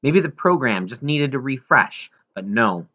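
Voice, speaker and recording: A man's voice: medium pitch, lively intonation, a little slow, pushed and bright, lo-fi sound. A man reads a children's novel aloud as scripted audiobook narration. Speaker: audiobook reader.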